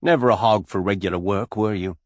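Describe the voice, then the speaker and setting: A man voicing a blunt, hearty adventurer who talks in rough, direct questions. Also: fairly low pitch, fairly quick, a little mellow, dry recording, natural voice.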